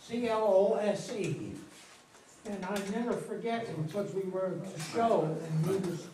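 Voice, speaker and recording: A woman's voice: mid-range voice, measured pace, a crowd in the background. A woman in an audience casually recalling something from memory. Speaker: audience member. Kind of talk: casual recollection. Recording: distant, echoey room with clear noise.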